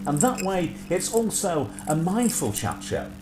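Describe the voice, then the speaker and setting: A man's voice: fairly high pitch, wildly swooping pitch, unhurried, music in the background. Livestream host: man, middle-aged, nasal from sinusitis, swooping intonation, rambling delivery, echoey room. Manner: rambling with frequent filler hesitations. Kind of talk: casual livestream chat.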